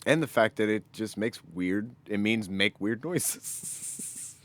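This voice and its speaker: Lower-voiced man in casual podcast banter. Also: lively intonation, slow pace, fairly bright tone. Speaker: podcast co-host. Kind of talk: casual chat.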